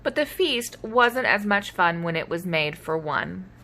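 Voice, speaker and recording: A woman reads a children's story aloud as a story time host. Her delivery is lively and swings widely in pitch, with a bright tone. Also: measured pace. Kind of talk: animated storybook read-aloud.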